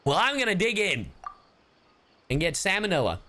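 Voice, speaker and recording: A man's voice: high voice, normal speed, a little hiss or hum. A young American man casually commentating as a game streamer, slangy and sweary. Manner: animated, slangy storytelling with profanity.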